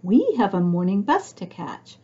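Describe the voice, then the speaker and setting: A woman voicing a gentle, tired man who is kind to his friends, in a storybook read-aloud. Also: mid-range voice, very animated, normal speed, slightly rough, muffled recording. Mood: gently grateful.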